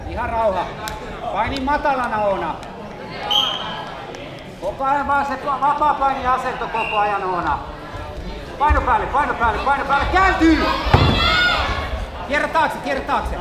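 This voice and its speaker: Male mat-side coach, clipped repeated instructions, roomy hall, loud crowd. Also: very high voice, expressive, long pauses. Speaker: wrestling coach. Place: large indoor sports hall. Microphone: far camera. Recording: echoey sports hall with crowd noise.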